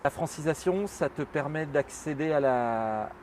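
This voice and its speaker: A man's voice: a little high, measured pace, darkish tone, a little hiss or hum. A man interviewing a guest, asking questions with stammered restarts. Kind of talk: casual interview.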